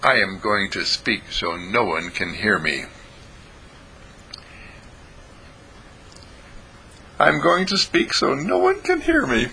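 A man's voice: on the low side, wildly swooping pitch, normal speed, gravelly, pushed and bright, slight background noise, full character voice. A man voicing a mischievous, affectionate littlest boy of the family, speaking in a stage whisper in a storybook tale. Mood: sly, secretive.

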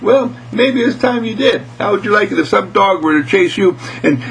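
A man's voice: high voice, expressive, normal speed, gravelly, fairly bright tone, slight background noise, pitched above the performer's own voice, full character voice, rough recording. A man voicing a small, indignant talking mouse in a bedtime story, scolding and pleading in plain words. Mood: plaintive scolding.

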